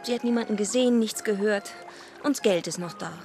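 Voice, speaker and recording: An actress playing a discreet, thoughtful deaconess who speaks in short phrases in a radio play. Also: female voice, mid-range voice, a little fast, darkish tone, faint music behind, natural voice. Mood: puzzled.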